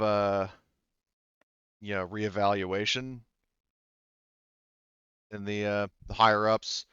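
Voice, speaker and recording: Male, game master as a weary-of-management veteran prospector, careful and halting; lowish smooth voice, dull recording. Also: a bit bright, no echo. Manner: hesitant, searching for words. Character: cautious male veteran prospector, resigned, loyal to his job.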